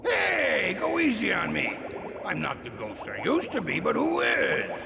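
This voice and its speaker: A menacing cartoon ghost pirate captain, washed-up but full of bluster. The gravelly voice leaps wildly up to very high pitches and drags out its words, over loud noise. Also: male voice, huge pitch swings, unhurried, full character voice. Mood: wounded bluster. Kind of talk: cartoon villain video game acting.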